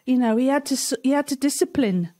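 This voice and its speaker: A woman's voice: high voice, fast talker, dark tone. A woman hosting a children's story time, chatty and giggly as she retells a story. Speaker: storyteller host.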